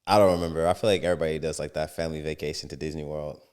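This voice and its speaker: A young man from the United States with a very deep, low voice, co-hosting a podcast. He talks with casual slang and easy energy, building his points as he goes. It sounds like a relaxed conversation between friends. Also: rapid-fire, clear, resonant tone. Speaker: podcast co-host.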